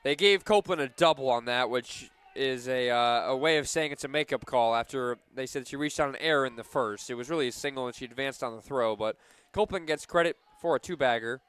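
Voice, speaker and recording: A man calling live softball play-by-play for radio, measured between pitches and animated on big plays. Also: smooth, no room sound.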